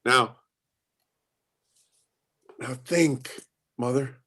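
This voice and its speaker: Man as slow, halting elderly inventor. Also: mid-range voice, sharp tone, heavily acted. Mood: musing. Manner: halting, repeating words, ruminative. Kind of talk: acted dialogue in a play reading.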